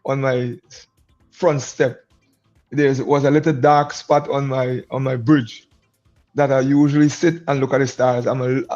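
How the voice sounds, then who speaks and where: A man's voice: medium pitch, level tone, unhurried, a little mellow, soft background music. Laid-back middle-aged Guyanese man, lo-fi. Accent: Guyanese, shaped by years in Antigua. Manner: easygoing, laughs often, calls the host "man". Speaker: guest musician. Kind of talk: casual interview chat.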